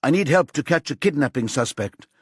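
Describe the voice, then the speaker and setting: A man's voice: a little high, lively intonation, fairly quick, husky, no room sound, voice pushed up. A firm, urgent police detective in a crime audiobook, played by a male narrator. He questions insistently but keeps control, in a very dry recording.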